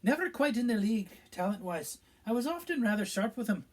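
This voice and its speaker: A man's voice: sits high, very animated, fairly quick, pitched above the performer's own voice. A man voicing a strict older female professor who speaks in a clipped, scolding way. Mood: stern regretful. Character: stern older woman professor, brisk and strict.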